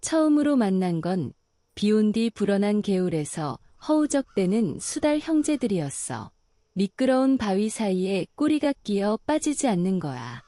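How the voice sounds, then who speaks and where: A woman narrating a bedtime fairy tale in a calm, slow and soothing way. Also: medium pitch, dry recording, studio quality. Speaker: storyteller.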